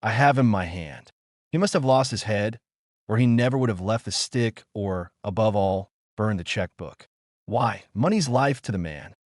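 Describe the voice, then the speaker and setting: A man's voice: lowish voice, animated, normal speed, dry recording, clean studio sound, close to an everyday voice. A man voicing a confident, gleeful police inspector in a noir audiobook story. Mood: confident, delighted.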